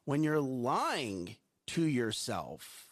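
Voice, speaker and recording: A man's voice: medium pitch, very animated, very slow. A man in his thirties co-hosting a live morning show, giving an earnest motivational talk with a strong voice, often repeating and restarting words. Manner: strong voice that carries.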